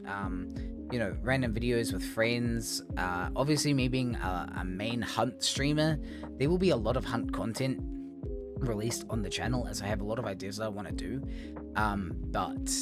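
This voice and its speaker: A hoarse man who has lost his voice to a cough gives a casual, rambling channel update to his viewers as a gaming streamer. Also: fairly low pitch. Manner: rambling, with many ums and restarts. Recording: guitar music playing under the voice.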